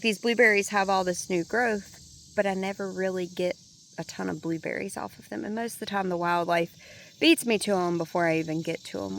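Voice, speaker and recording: Hoarse chatty woman outdoors. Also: mid-range voice, slight background noise. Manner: chatty, relaxed, thinking aloud. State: hoarse, congested. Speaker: gardening vlogger. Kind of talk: casual walk-through chat. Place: outdoors in a home garden.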